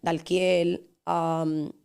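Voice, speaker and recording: A young woman with a Dominican accent and a raspy voice, chatting as an interview guest and telling stories with lots of fillers. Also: on the low side, little pitch movement, darkish tone. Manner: rapid chatty storytelling with many fillers. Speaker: guest, singer and actress. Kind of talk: casual interview chat.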